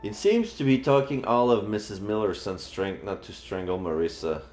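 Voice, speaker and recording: A man streaming a read-aloud of a visual novel, narrating in an expressive storytelling style. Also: on the low side, normal speed. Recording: roomy sound, faint background music.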